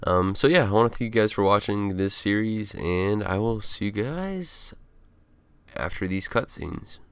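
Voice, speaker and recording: A man giving casual, low-key let's play commentary to his viewers as the player. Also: lively intonation, unhurried, clean tone, no echo. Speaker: video game player signing off.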